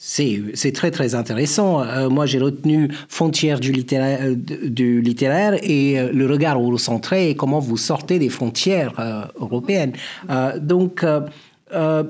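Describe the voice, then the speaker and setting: An interviewer with a mid-pitched voice puts a long question to a guest. The delivery is hesitant, with repeated fillers and restarts while phrasing it. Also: moderate pace, darkish tone.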